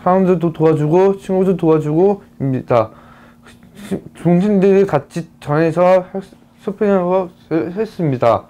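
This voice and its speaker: A man in his twenties, a ceramics student, speaking in short halting phrases. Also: high voice, dark tone. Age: 20s.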